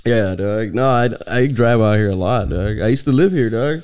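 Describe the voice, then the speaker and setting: A man in his thirties from Southern California, a comedian guest on a podcast, telling stories in relaxed street slang. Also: on the low side, normal speed, clean tone. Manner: laid-back slangy storytelling, says "dog" constantly. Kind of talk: casual chat. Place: podcast studio with brick wall. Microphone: boom studio mic.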